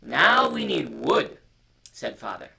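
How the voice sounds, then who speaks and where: Male storyteller and co-host, heavy creak and lively pitch, informal delivery over noisy live-stream sound. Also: slow, a crowd in the background. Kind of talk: informal chat and picture-book reading aloud.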